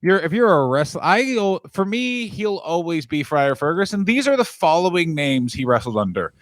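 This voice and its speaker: A man's voice: a little high, big pitch swings, moderate pace, dry recording, studio quality. A man co-hosting a podcast in casual comedic chat, joking and cutting in on his partner. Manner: joking, interrupting, playful. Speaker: podcast co-host.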